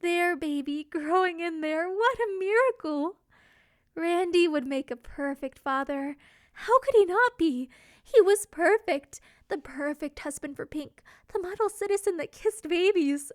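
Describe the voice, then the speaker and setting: A woman narrating a scripted romantic story in an expressive, theatrical storytelling manner. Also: extremely high pitch, no room sound, studio quality. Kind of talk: scripted dramatic fan fiction narration.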